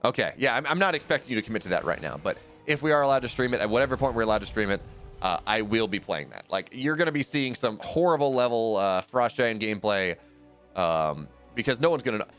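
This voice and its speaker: A man's voice: lively intonation, quick pace, a bit bright, soft background music. A man casting a strategy game live, talking casually with his chat between plays and often restarting his sentences. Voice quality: deep radio-style voice. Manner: conversational, rambling asides with frequent restarts. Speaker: esports caster.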